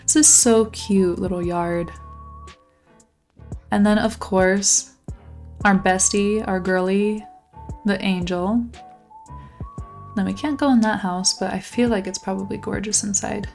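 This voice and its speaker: A woman's voice: mid-range voice, fairly even intonation, a little slow, creaky, pauses often, darkish tone, soft background music. A young woman giving casual, gushing commentary while she tours a game island, her voice a little worn from losing it lately. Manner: bubbly, gushing, exclamatory. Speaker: channel host touring a game island.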